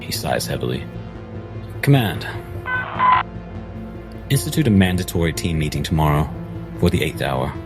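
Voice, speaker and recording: A man playing a tabletop roleplay game, narrating and speaking in character in a measured, formal way. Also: rumbling low, sing-song. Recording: loud music under the voice.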